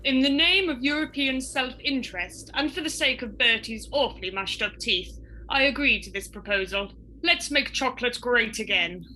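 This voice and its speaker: A woman's voice: fairly high pitch, moderate pace, pushed and bright, a little hiss or hum, poor audio. A woman playing a genial, gullible and pompous Tsar in a comic radio drama, declaiming grandly. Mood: grand, indignant.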